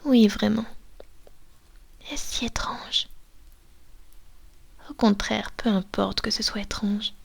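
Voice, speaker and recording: A woman's voice: mid-range voice, fairly even intonation, slow, creaky, long pauses, slightly rough, exaggerated voice. A woman voicing a possessive, domineering female vampire mistress in an ASMR roleplay, mostly whispering, coaxing and commanding into a binaural microphone.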